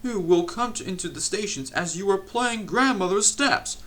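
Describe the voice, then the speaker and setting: A man voicing a stern, portly male railway boss who blusters loudly and indignantly. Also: mid-range voice, sing-song, measured pace, exaggerated voice. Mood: cross.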